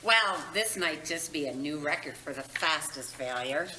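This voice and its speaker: A woman reading the part of a brisk, matter-of-fact ghost supervisor at a screenplay table read. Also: deep voice, animated, sharp tone, a little hiss or hum, low-quality recording. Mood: dry, exasperated. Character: brisk deadpan female ghost supervisor at an afterlife agency.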